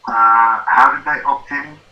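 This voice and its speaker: A man's voice: medium pitch, fairly even intonation, unhurried. A man in his fifties with a South African accent, a guest speaking casually and hesitantly on a video call. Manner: hesitant, many fillers and restarts. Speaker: guest, affiliate marketing student. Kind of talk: casual interview over a call. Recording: lo-fi roomy call audio with faint noise.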